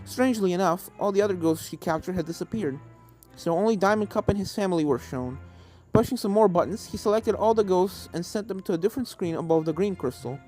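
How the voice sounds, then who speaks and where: Fan fiction narrator, mid-pitched voice, scripted storytelling with light video game music underneath. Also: fast talker, mellow, poor audio. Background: video game background music.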